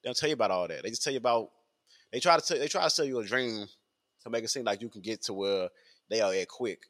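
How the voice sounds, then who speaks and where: A man's voice: on the low side, quick pace, a bit bright. A man, talking in a rambling casual podcast monologue full of fillers and repeats. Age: 20s.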